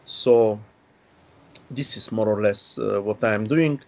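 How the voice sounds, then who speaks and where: A man in his sixties from Bulgaria, a linguistics professor explaining history in measured, unhurried interview answers over a video call from a home study. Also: lowish voice, gravelly, a little mellow. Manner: measured, unhurried, with pauses and fillers.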